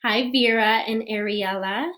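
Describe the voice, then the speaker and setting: High sweet fairy-tale princess gal, a woman doing the voice, gushy and polite, greeting her little fans. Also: fairly even intonation, unhurried. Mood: sweet, welcoming. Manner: gentle, gushing, storybook-polite. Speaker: costumed princess performer. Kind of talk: live-stream greetings to young fans.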